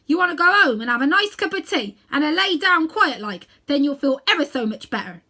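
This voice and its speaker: Woman doing a high-pitched cabby. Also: sing-song, fairly quick, bright, forceful tone, exaggerated voice. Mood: coaxing. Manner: earnest, colloquial, coaxing. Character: rough, coaxing working-class male London cabby.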